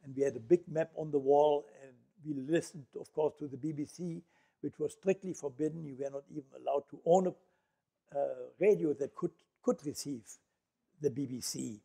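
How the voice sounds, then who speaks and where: An older man with a Dutch accent addresses an audience in an auditorium. His voice sits a little high, picked up from a distance. He talks in a reflective, unhurried way, with fillers between his thoughts. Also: vocal fry, mellow. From Netherlands. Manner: reflective storytelling with frequent fillers. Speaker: Holocaust survivor speaker. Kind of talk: lecture. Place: auditorium stage.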